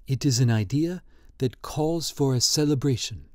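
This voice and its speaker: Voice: mid-range voice, moderate pace, mellow, dry recording, studio quality, close to an everyday voice. Reader doing a skeptical young painter.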